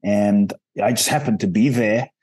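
Podcast guest, man, low husky voice, earnest and reflective. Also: moderate pace, darkish tone. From Ghana. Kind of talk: podcast interview storytelling.

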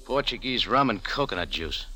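A man's voice: fairly low pitch, expressive, moderate pace, sharp tone, husky, exaggerated voice. A man acting in a 1940s radio drama as a tough, wry American cafe owner turned sleuth, with an American accent and clipped hardboiled delivery. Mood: terse, challenging. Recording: vintage radio broadcast with music.

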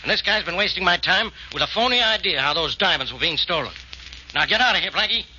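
Sharp, grouchy radio police inspector. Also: male voice, sits high, animated, quick pace, a little hiss or hum, exaggerated voice. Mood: blustering. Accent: American. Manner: gruff, sarcastic, blustering. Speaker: radio drama actor. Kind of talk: 1940s radio acting. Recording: muffled old radio recording.

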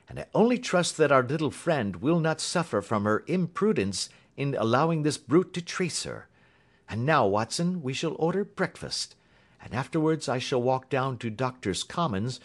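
A man voicing a bland, unflappable gentleman detective with a lightly mocking manner in a storybook reading. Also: mid-range voice, voice pushed up.

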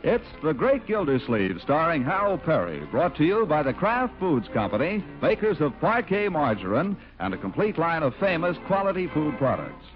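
A man's voice: a little high, sing-song, normal speed, few pauses, over loud music. A man announcing and narrating a scripted 1940s radio programme. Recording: dull vintage 1940s radio recording.

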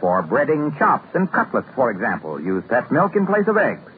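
A man's voice: mid-range voice, wildly swooping pitch, normal speed. A man announcing for a sponsor in the style of 1950s radio. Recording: tinny, noisy old radio recording.

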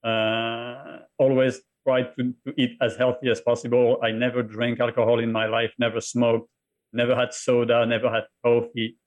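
A middle-aged man speaking with a French accent in an even, middle-range voice. He talks as a podcast guest, often stopping to restart or repeat a word as he tells his story. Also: medium pitch, dull audio. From France. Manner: halting, repeats words while telling his story. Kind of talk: interview conversation.

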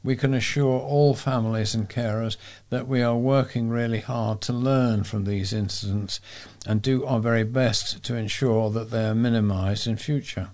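Male volunteer newsreader, mid-pitched, for a local talking newspaper. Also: fairly even intonation, moderate pace, a little mellow. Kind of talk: read-aloud local news.

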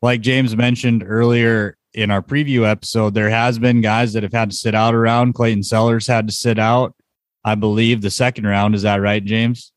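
A man's voice: on the low side, little pitch movement, normal speed, no echo, studio quality. A man hosting a casual sports podcast, chatting informally about results and what is coming up. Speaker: podcast host.

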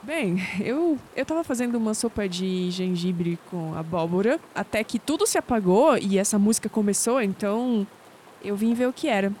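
An actress playing a guarded, teasing young woman researcher with a Brazilian accent in an audio drama, quick with sceptical retorts. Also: mid-range voice, lively intonation, warm tone, a little hiss or hum, close to an everyday voice. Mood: explaining, wry.

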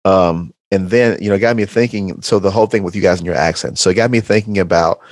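A man's voice: sits low, quick pace, no echo. A man co-hosting a podcast, talking casually with enthusiasm and slang and speaking straight to the listeners. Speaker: podcast co-host.

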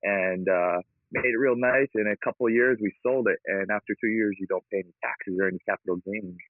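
An upbeat man from Hawaii talks casually about his work, laughing easily. His lowish voice comes through a thin, phone-like line. The sound is dry, with no room around it. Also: fairly quick, dry recording. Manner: upbeat, laughs often, energetic. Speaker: guest, real estate investor. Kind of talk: casual interview talk.

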